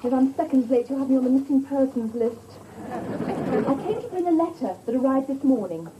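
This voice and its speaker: A woman's voice: high-pitched, expressive, brisk, a crowd in the background. A woman playing a sitcom role, talking in a fussy, prim and exasperated way. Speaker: sitcom supporting character. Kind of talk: 1980s British sitcom acting. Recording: studio audience laughter and noise.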